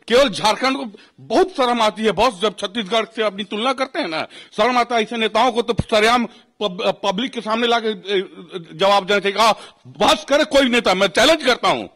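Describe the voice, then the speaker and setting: Indignant guy w jharkhand hindi accent, high voice, ranting politics, repeats himself to drive it home. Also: a bit bright. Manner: indignant, combative, repeats phrases for emphasis. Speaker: panel guest giving his opinion. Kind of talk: heated political commentary.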